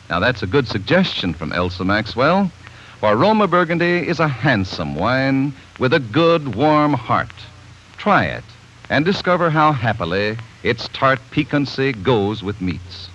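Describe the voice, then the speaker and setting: A man announcing a sponsor's commercial in a smooth, persuasive 1940s radio style. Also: mid-range voice, fairly bright tone, slight background noise. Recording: muffled 1940s radio broadcast.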